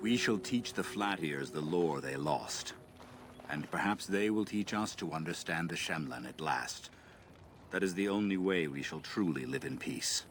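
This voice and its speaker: A man playing a kindly, solemn old elven lorekeeper in a fantasy game, speaking in a measured, formal way. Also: deep voice, animated, fairly bright tone, slight background noise. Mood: wistful. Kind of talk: scripted fantasy game acting. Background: game music.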